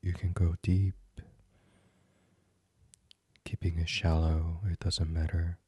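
A very low-voiced man leads a sleep meditation in an ASMR style. His voice is heavily breathy and husky, often close to a whisper. He speaks slowly and soothingly, pausing between short phrases. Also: flat delivery, dry recording. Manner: soothing, hushed, gentle, with many pauses. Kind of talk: guided sleep meditation, soft ASMR reading.

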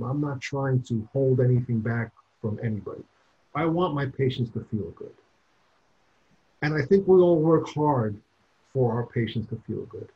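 A man's voice: medium pitch, lo-fi sound. An older man from Cuba, a clinician answering interview questions in an earnest way and repeating points for emphasis. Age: 60s or older.

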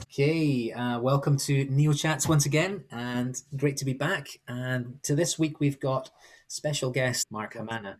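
Man, podcast host, conversational, winding questions, mid pitch. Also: measured pace, music in the background. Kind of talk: podcast interview.

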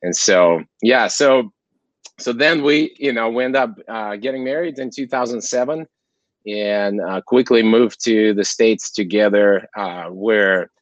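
Dull-sounding low-voiced man from Kazakhstan. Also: a little slow, dry recording. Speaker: interview guest, sports ministry leader. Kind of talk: conversational interview, personal story. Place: remote call. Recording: dull lo-fi call audio.

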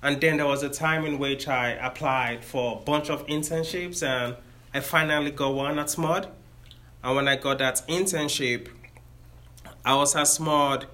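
Nigerian man, bright, explaining plainly. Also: medium pitch. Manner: friendly, explains step by step with self-corrections. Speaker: engineer giving a career talk. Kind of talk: informal explanatory talk to students.

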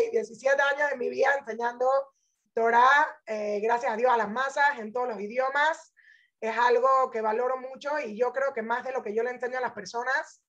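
A woman's voice: fairly high pitch, a bit bright. A woman, teaching through personal stories in an informal religious talk, dropping in English phrases. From born in Venezuela, grew up in Panama. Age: 30s. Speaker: Torah teacher. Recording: dull lo-fi audio.

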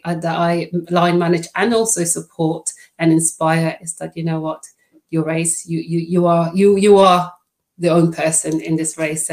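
A middle-aged woman from the Netherlands, Dutch by first language, answering interview questions in a friendly, halting way with many fillers. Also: on the low side, darkish tone. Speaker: podcast guest.

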